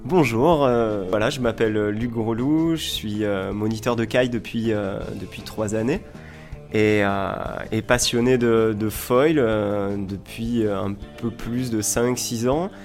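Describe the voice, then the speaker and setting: A man's voice: lowish voice, measured pace, a little mellow. Man explaining informally over guitar music. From Montpellier, southern France. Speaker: foil school owner and instructor, interview guest. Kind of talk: informal radio interview.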